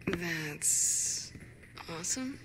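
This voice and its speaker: An actress playing a tough gang leader in a video game, talking with dry sarcasm. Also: female voice, lowish voice, expressive, drawn-out words, in a large hall, noisy background. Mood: casual, wry.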